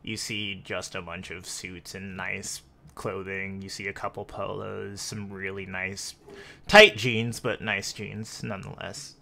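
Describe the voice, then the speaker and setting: A man's voice: low voice, flat delivery, measured pace, creaky, sharp tone. A man narrating a tabletop roleplaying game as game master in a casual, conversational way.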